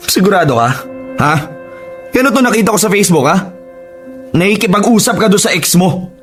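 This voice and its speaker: A man's voice: high-pitched, lively intonation, brisk, fairly bright tone, soft background music. A man playing a jealous, hot-tempered fiancé in a relationship drama, confrontational, with a Filipino accent. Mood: angry, accusing. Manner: confrontational, demanding.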